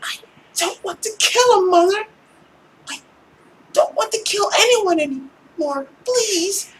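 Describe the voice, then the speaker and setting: A man's voice: extremely high pitch, animated, slow, a little hiss or hum, voice pushed up, exaggerated voice. A man voicing a frightened young woman who pleads hesitantly with her mother in a visual novel. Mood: distressed.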